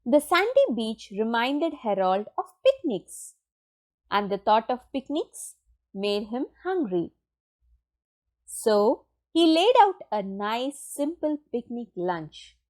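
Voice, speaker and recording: A woman reading a children's picture book aloud in an expressive, deliberate storytelling manner with dramatic pauses. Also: a little high. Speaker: storybook reader.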